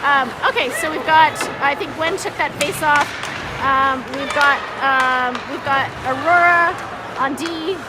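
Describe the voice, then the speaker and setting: A woman giving informal, halting live hockey commentary as an unsure, self-deprecating amateur, with a slightly scratchy voice. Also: sits high. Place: indoor ice hockey arena. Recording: music and crowd noise behind, arena. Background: music and crowd.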